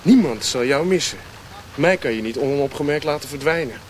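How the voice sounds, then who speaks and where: An actor playing a restless, bitter and scheming ship's officer in a radio play. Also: male voice, on the high side, animated, a little fast, lightly acted. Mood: threatening. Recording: noisy old radio play recording.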